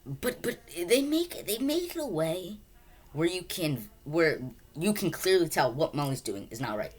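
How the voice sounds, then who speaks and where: A woman's voice: lowish voice, sing-song, unhurried, darkish tone. A woman giving a casual, unscripted film review, rambling and often repeating words as they restart sentences.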